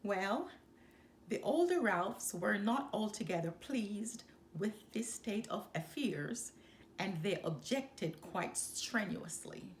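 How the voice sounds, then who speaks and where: A woman reading a children's picture book aloud, with expressive, deliberate and dramatic phrasing. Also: medium pitch, a little hiss or hum. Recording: roomy, echoing sound.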